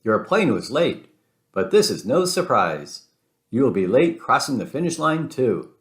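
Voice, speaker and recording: A man's voice: mid-range voice, sing-song, measured pace. A man voicing a boastful Italian race car in a children's storybook, with an Italian accent and a pompous manner. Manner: pompous, speaks of himself in third person. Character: boastful Italian male race car, grandly self-assured.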